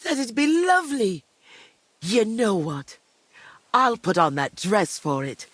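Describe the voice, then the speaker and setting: An actress playing a frail, wistful old village widow in a medieval video game, speaking in a halting, fond way. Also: female voice, medium pitch, big pitch swings, breathy edge, no room sound, full character voice. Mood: touched, delighted. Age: older. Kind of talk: dramatic video game dialogue.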